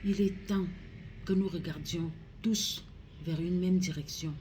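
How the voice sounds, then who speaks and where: A woman with a Cameroonian accent delivers a solemn public appeal in a low, mellow voice. She speaks very slowly and gravely, pleading in deliberate phrases, with a little noise in the recording. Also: fairly even intonation. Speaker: regional councillor making a public appeal.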